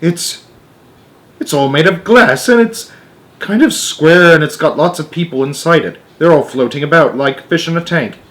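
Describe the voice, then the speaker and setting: Man playing an excitable, high-pitched alarmed astronaut. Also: normal speed. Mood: bewildered, insistent. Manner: exclamatory, urgent, stammering in disbelief.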